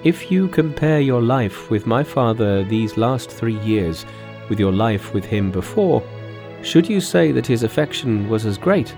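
A man voices a young prince from a storybook, grave and earnest. He speaks in formal phrases with forceful urgency, entreating his royal mother. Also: medium pitch, expressive, a little slow, faint music behind. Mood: pleading. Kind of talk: storybook dialogue in a narrated folk tale.

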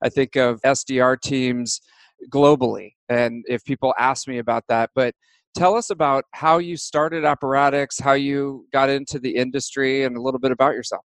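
A man's voice: medium pitch, fairly even intonation, moderate pace, no echo, poor audio. A man hosting a podcast, greeting and questioning his guest in an upbeat, welcoming way. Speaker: podcast host.

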